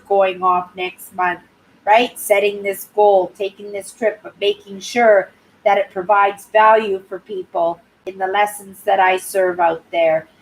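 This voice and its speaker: A woman in her sixties from Canada, chatting casually on her live stream in a clear, high-pitched voice and often ending sentences with "right?". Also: low-quality recording. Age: 60s. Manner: rambling, tags sentences with "right?". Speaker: YouTube channel host.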